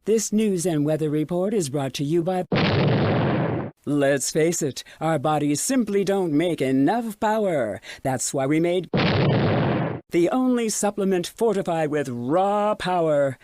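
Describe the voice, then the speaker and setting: Eerily cheerful station announcer for radio ads; soft mellow tone, dry booth sound. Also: female voice, sits low, animated, unhurried, no echo. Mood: salesy.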